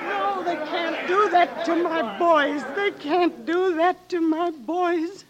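Defiant frontier mother, high voice, vintage radio play, crowd behind. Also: slow pace, lo-fi sound. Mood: anguished. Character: stark, defiant pioneer mother protecting her sons. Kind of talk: 1940s radio acting. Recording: old radio drama, crowd clamor behind.

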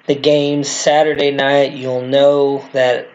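A man with a hoarse, worn-out voice hosting a casual sports podcast, rambling excitedly as a fan. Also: mid-range voice, slow, highs cut off. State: hoarse from screaming. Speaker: podcast host.

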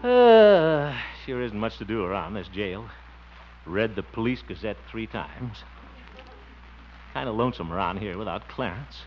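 Flustered American marshal, old radio comedy, swooping intonation, chuckling bluster, muffled recording. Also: male voice, lowish voice, sing-song, a little slow, music in the background. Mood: glum. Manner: theatrical, chuckling, sputtering. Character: blustery, trusting, flustered small-town marshal. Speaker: lead comic actor. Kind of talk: 1950s radio situation comedy acting.

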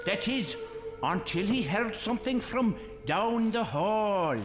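An actor playing a droll, arch narrator of a scripted audio drama story. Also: male voice, sits high, lively intonation, takes it slowly. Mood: droll, suspenseful. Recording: echoey reverberant hall, loud music behind.

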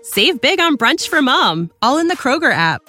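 A woman reading a scripted commercial as a lively sales pitch. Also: normal speed. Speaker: ad voice-over. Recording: faint music bed behind.

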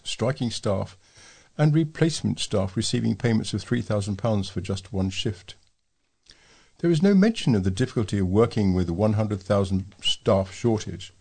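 Male volunteer reader, lowish and husky, for a local talking newspaper's letters segment. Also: dark tone. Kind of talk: reading readers' letters aloud.

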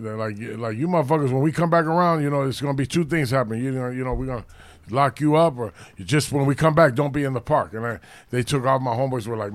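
A middle-aged man from Los Angeles with a deep, loud voice, telling blunt street stories full of swearing in a casual chat. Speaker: podcast co-host.

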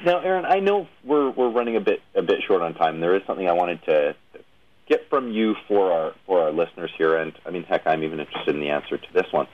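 A man hosting a radio show, asking questions in a casual, halting way and often rephrasing as he goes. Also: deep voice, expressive, phone-quality sound. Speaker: radio show host interviewing a guest. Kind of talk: casual interview.

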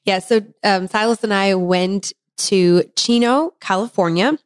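A talkative woman in her thirties chats on a podcast, laughing easily as she talks. Her voice carries a slight leftover hoarseness. The sound is dry and close, with no room echo. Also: mid-range voice, unhurried. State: slightly hoarse, recovering from laryngitis. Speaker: podcast co-host. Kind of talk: casual chat.

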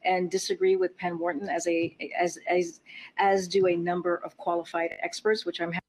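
A woman answering questions at a press briefing in a measured, official tone, played back as a clip. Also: lowish voice, little pitch movement, low-quality recording. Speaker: press secretary.

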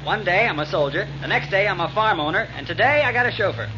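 A chipper young ex-serviceman in an old radio drama. His voice is high for a man. The recording is muffled and clearly noisy. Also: animated, fast talker. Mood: cheerful. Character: cheerful, eager young ex-soldier, new farm owner. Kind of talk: 1940s radio acting.

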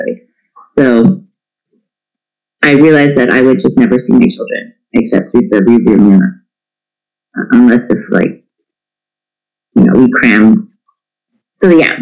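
A man's voice: mid-range voice, gravelly, a little mellow, poor audio. A middle-aged man, chatting casually as an interview guest in a candid, irreverent, self-deprecating way. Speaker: interview guest, homeschooling parent. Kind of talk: casual chat.

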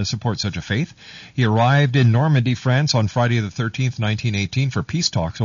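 A man's voice: fairly low pitch, husky, no room sound. A man hosting a live radio talk show, reading out items in an announcer's manner and sometimes stumbling over the text. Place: radio studio. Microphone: close studio microphone. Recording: muffled, lo-fi radio audio.

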